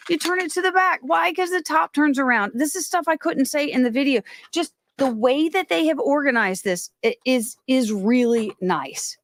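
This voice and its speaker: A woman's voice: high-pitched, slight background noise. A woman hosting a casual livestream, talking in a rambling, self-interrupting way. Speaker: livestream host.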